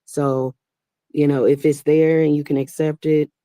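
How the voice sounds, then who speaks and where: A woman's voice: deep voice, flat delivery, unhurried, mellow, dry recording. A woman working as a Reiki master and voice actor, talking casually with encouraging spiritual advice and often repeating words as she speaks. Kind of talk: casual conversation on a live stream.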